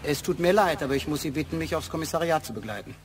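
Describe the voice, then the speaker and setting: Brisk, courteous male inspector played by an actor for a radio crime drama, quick delivery, faint noise behind. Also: on the high side, lively intonation, lightly acted. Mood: businesslike. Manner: polite, businesslike.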